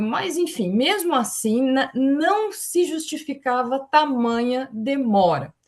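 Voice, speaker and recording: A Brazilian woman speaking as a forum panelist in an online academic presentation, explaining things and often adding small tags that seek agreement. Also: a little high.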